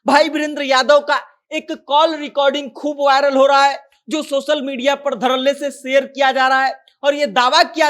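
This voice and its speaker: High-pitched news commentator. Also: a bit bright. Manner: conversational retelling, acting out quoted dialogue. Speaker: news presenter. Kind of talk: informal news commentary recounting a viral story.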